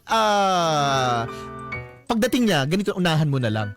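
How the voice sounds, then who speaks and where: A man's voice: fairly high pitch, big pitch swings, a little slow, a bit bright, faint music behind. A man with a Filipino accent hosting a casual radio advice show, teasing and acting out little quotes as he chats. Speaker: radio host. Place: radio studio.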